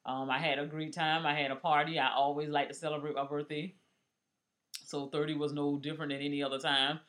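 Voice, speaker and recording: Male co-host, 40s, bright and a bit high, drawn-out teasing chat. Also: level tone. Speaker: podcast co-host. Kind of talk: casual chat.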